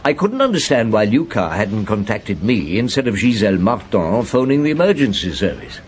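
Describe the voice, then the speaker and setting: Low-voiced, probing police inspector. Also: male voice, big pitch swings. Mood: reflective. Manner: measured, probing, hesitant hums and questions. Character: shrewd, probing male police chief inspector recounting his case. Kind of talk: radio drama acting, narrating and interrogating.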